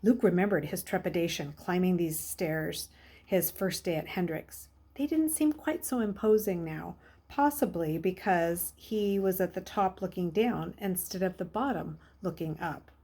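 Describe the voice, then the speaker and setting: A woman's voice: on the low side, a little slow, mellow, husky. A woman reading a children's novel aloud as a storyteller. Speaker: story reader.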